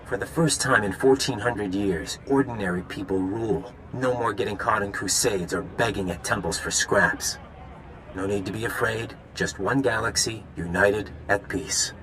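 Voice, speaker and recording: A man playing a suave, idealistic conspirator villain in a video game, smooth and theatrical in his talk. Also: on the low side, normal speed, fairly bright tone, slight background noise, lightly acted, rough recording. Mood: fervent. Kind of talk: video game dramatic acting.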